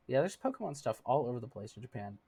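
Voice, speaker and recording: A thirty-something streamer talks loosely and warmly to chat between moves in a game. The speech is full of fillers and easy laughs, at a middling pitch. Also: husky. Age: 30s. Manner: chatty, laughs often, many fillers. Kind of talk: casual live-stream chat with viewers.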